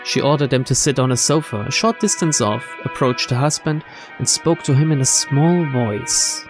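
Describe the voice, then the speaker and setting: A man's voice: mid-range voice, normal speed. A man doing a game playthrough, reading visual novel narration aloud and adding his own asides. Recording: game music behind the voice.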